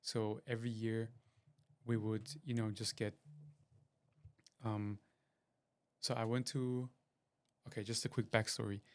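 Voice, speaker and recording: A man's voice: on the low side, level tone, measured pace, long pauses. Rambling man, podcast host. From Switzerland. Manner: rambling, chatty, with many fillers and restarts. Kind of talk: casual solo podcast intro.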